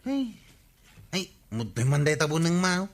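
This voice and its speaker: Cheeky wheedling villager, higher voice. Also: male voice, sing-song, measured pace. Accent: Ilocano. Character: cheeky, careless village borrower, male. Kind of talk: Filipino radio comedy drama acting. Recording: faint music under voice. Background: faint music.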